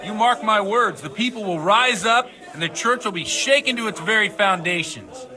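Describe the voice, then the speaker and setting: Game role: boozy rebel priest, high sharp voice, fervent preacher's delivery. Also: male voice, animated, normal speed, full character voice. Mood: earnest. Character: hard-drinking rebellious village priest, zealous and worldly. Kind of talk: video game cutscene acting.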